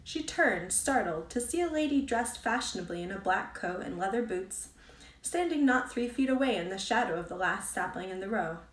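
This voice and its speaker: Female narrator for read-aloud fiction, medium pitch, recorded in a roomy space away from the mic. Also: animated, moderate pace. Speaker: author reading own book aloud. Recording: roomy echo, distant from mic.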